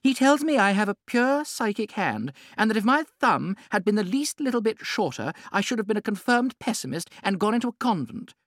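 A man voicing a vivacious, theatrical middle-aged society hostess in a high, bright voice, in an audiobook reading. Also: big pitch swings, fairly quick, hardly any pauses, no echo, studio quality. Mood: airy.

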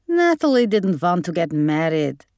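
A woman's voice: fairly low pitch, big pitch swings, measured pace, a little mellow, no echo, clean studio sound. A woman voicing an imperious, snappish old grandmother with a Hungarian accent in an audiobook. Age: older. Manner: snappish, opinionated.

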